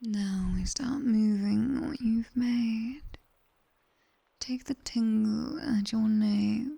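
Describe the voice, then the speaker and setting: A British woman playing a sharp-tongued, teasing nightmare demon tutor in an ASMR roleplay, whispering breathily and intimately close to a binaural mic. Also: fairly high pitch, gravelly, husky, exaggerated voice. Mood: soothing, instructive. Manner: slow, intimate, teasing, commanding. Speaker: ASMR performer. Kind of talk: whispered ASMR roleplay, guided body scan. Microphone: close binaural ear microphone.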